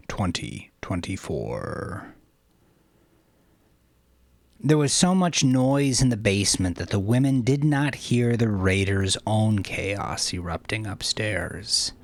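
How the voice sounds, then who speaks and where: A man narrating a dark story like an audiobook, intense and theatrical, swinging between hushed phrase endings and forceful peaks. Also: fairly low pitch, animated, takes it slowly, dry recording. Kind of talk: dramatic scripted audiobook narration.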